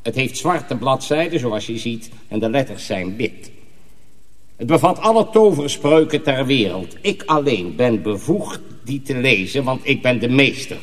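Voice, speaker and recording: In a long, echoing reverb, a stern sorcerer master played by a man holds forth in a radio play. He speaks slowly and deliberately, with a very animated pitch. The tone is solemn, commanding and menacing. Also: close to an everyday voice. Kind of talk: radio drama acting.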